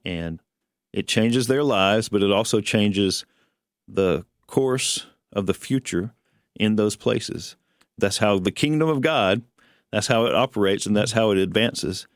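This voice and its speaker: A middle-aged man with a fairly low voice talks as the co-host of a religious radio show. His style is conversational, and the recording is dry and free of echo. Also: unhurried.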